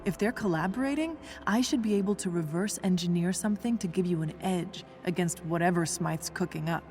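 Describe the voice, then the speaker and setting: A woman's voice: on the low side, fairly quick, a little mellow, soft background music, close to an everyday voice. A woman voicing a tough, smart young scientist in a video game, dry and matter-of-fact. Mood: businesslike. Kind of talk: video game voice acting, naturalistic dialogue.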